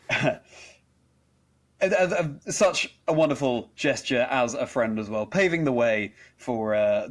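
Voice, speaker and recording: A male podcast host with a mid-pitched voice talks in a conversational interview style. He is effusive and a little formal, building long, wordy, complimentary sentences. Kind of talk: hosted interview chat.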